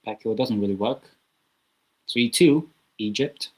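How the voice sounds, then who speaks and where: A man's voice: mid-range voice, a little slow, darkish tone, rough recording. A man hosting a live football watchalong, giving casual, rambling commentary with chatty asides from a small room at home. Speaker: watchalong host.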